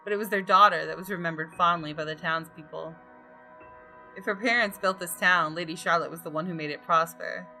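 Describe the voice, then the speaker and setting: A woman reads first-person game narration aloud in a crisp, bright tone. Her pitch is slightly low for a woman, and her delivery is deliberate and dramatic. Soft music sits behind the voice. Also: steady tone. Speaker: gaming video host. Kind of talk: reading visual novel narration aloud.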